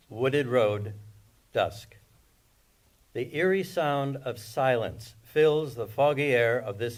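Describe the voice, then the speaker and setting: A man narrating scripted stage directions at a screenplay table read. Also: medium pitch.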